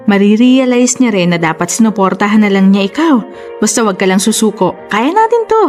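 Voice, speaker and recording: An actress plays a self-assured Filipina big sister in a radio drama. She speaks at a medium pitch, encouraging and supportive in a conversational way, over faint music. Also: expressive, a little fast, darkish tone, lightly acted. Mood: reassuring, concerned. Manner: encouraging, conversational, English-peppered. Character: confident, supportive older-sister business partner, brisk and reassuring.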